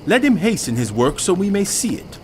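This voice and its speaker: High-pitched mocking sinner. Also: male voice, sing-song, a little fast, slight background noise. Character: mocking male sinner defiantly daring God. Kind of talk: dramatized scripture audio acting.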